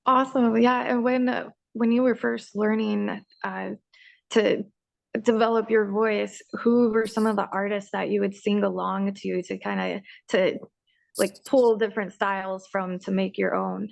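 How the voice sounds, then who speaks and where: A woman's voice: mid-range voice, level tone, measured pace, creaky, no room sound. A woman interviewing a musician over a video call from a room full of equipment cases, asking hesitant, rambling questions. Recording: dull, dry lo-fi sound.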